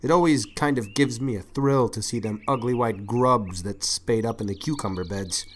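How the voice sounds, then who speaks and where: An actor playing a shy, kindly older farmer in an audiobook, with halting, folksy speech. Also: male voice, mid-range voice, lightly acted. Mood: plain, hesitant.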